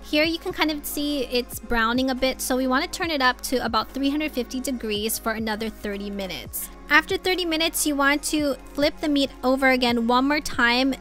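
High-pitched young woman, cheerful cooking vlogger, step-by-step instructions, home kitchen, soft music underneath. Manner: upbeat, instructive, addresses viewers as you guys. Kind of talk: cooking tutorial narration.

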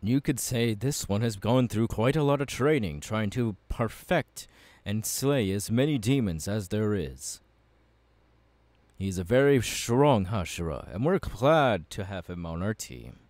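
A man playing a confident, fiery anime swordsman mentor in an ASMR audio roleplay, talking to the listener with measured, dramatic pauses. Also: on the low side, lively intonation, a little mellow, dry recording. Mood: welcoming, instructive. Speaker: voice actor.

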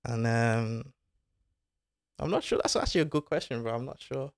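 A man's voice: mid-range voice, moderate pace, gravelly, no echo, clean studio sound. A young man, talking casually as a podcast guest, laid-back and full of slang. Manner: laid-back slang-filled talk, says bro and innit. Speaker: guest footballer. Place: small studio with foam panels. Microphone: desk mic on arm.

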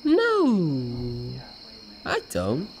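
A man's voice: mid-range voice, wildly swooping pitch, extremely slow, slight background noise, full character voice. A young British man playing a brash college student, a cocky but loyal friend, in an audio drama. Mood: hushed, scheming. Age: young adult. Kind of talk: naturalistic audio drama acting.

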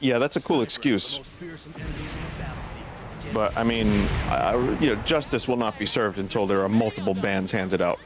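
A man with a bassy voice making dry jokes in a casual gaming chat with friends. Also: fairly even intonation, normal speed, pauses often. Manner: dry, joking asides. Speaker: streamer guest. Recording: game music behind voice.

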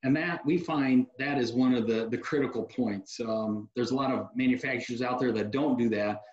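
A man's voice: medium pitch, normal speed, muffled recording. Man explaining tech over an echoey video call. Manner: relaxed, practical, sales-savvy explainer, many uh fillers. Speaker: security technology company guest. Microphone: video call webcam mic.

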